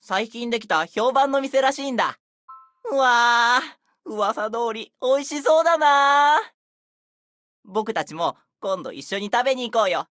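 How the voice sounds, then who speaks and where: An enthusiastic, boyish young companion in a game. The speech rushes along with big swings in pitch, friendly and full of eagerness. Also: female voice, lively intonation, a bit bright, no room sound, faint music behind. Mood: excited, friendly. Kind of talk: scripted Japanese game character acting.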